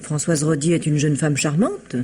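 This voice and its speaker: An actress playing a guarded, well-bred bereaved fiancée in a radio mystery, polite and measured, with precise phrasing. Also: female voice, deep voice, animated, warm tone, a little hiss or hum. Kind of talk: French radio mystery play acting.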